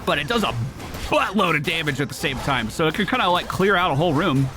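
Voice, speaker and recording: A man with a fairly high, very lively voice hosts a gameplay video. He talks casually and excitably, cursing here and there, with music clearly playing behind him. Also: quick pace. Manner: animated, exclamatory, swears casually. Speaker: gaming video host. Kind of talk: casual live game commentary.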